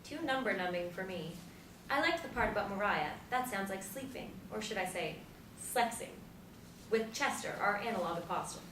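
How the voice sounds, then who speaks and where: A woman acting in a stage play as an aging Beatnik, thoughtful and dryly teasing, with deliberate delivery. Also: mid-range voice, lively intonation, lightly acted. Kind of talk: stage play scene reading. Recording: echoey room from far off, faint noise.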